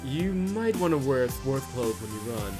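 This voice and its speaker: A man's voice: mid-range voice, sing-song, normal speed, close to an everyday voice. A man voicing a courteous, confident young billionaire boss in a visual novel, polite and formal. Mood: reassuring. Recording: loud game music behind voice.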